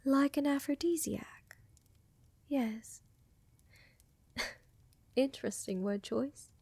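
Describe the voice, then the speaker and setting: A woman playing a seductive, tender vampire countess in a soft, intimate roleplay ASMR style, often dropping to a whisper, with a teasing, assured manner and formal wording. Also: sits high, extremely slow, long pauses, no room sound, exaggerated voice. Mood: teasing, amused. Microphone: close microphone, dry.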